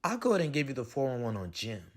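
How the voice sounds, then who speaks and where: Man as a gossipy, animated animal neighbour. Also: mid-range voice, fast talker, voice pushed down. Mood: knowing, teasing. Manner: slangy, knowing. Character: gossipy, matter-of-fact male animal neighbour of a chimp.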